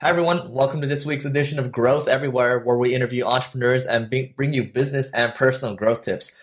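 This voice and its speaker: Male podcast host, mid-pitched, relaxed interviewer. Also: quick pace. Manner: conversational, with small restarts. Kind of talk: interview hosting and sponsor read.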